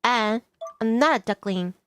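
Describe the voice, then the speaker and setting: A woman's voice: fairly high pitch, a little slow, slight background noise. A woman streaming a visual novel, reading the text aloud with asides to viewers and stumbling over some words.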